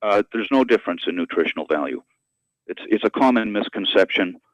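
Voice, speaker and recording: A man speaking as an expert guest in a radio interview, explaining in a measured, educational manner. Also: fairly low pitch, animated, no room sound, dull audio. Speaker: guest expert, university professor and author.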